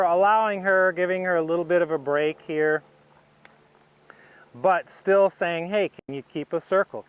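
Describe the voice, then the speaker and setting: A man giving instructional commentary as a riding instructor, thinking aloud in short, unhurried phrases as he demonstrates. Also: fairly high pitch, smooth, rough recording.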